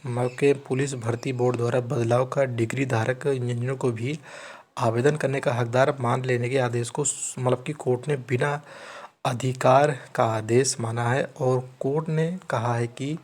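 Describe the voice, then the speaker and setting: A man's voice: medium pitch, darkish tone. Man explaining news informally. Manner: repeatedly rephrases and checks understanding, teacherly. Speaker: channel host explaining recruitment news. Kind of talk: informal explainer, reading news aloud and commenting.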